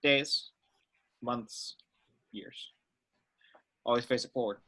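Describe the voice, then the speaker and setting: Man playing bright-voiced, terse veteran agent. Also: mid-range voice, steady tone, takes it slowly. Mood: weary, instructing. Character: terse, weary veteran male secret agent. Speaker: amateur actor in a table read. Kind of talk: casual at-home script table read.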